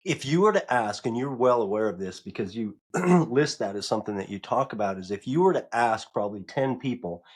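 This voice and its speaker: A man with a mid-pitched voice hosts a podcast interview. He thinks aloud as he speaks, hesitant, with frequent false starts before he lands a question. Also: animated, fairly quick, few pauses, breathy edge. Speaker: podcast host.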